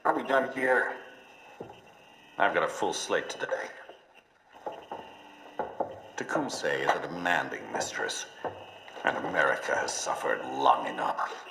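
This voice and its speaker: Game villain: ruthless American executive, middle-aged, impatient and condescending, forceful edge, very animated delivery, echoey hall. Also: male voice, rumbling low, a little slow, long pauses, exaggerated voice. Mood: dismissive. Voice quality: gravelly, forceful. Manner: cold, curt, condescending. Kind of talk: video game cutscene acting. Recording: echoey hall reverb with background noise.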